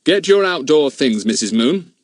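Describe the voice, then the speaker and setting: A stern police inspector gives orders in a firm, formal way, as a man puts him on. The voice sits above the reader's usual pitch and carries authority. It sounds dry and close. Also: lively intonation, moderate pace, no echo.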